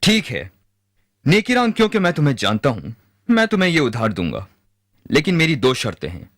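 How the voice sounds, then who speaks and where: A man's voice: a little high, huge pitch swings, brisk, a bit bright, no room sound, studio quality, full character voice. A man playing a greedy, ruthless moneylender in a moral-story cartoon, stern and threatening. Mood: cold, demanding. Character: greedy, ruthless male moneylender, cold and menacing. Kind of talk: animated moral-story dubbing.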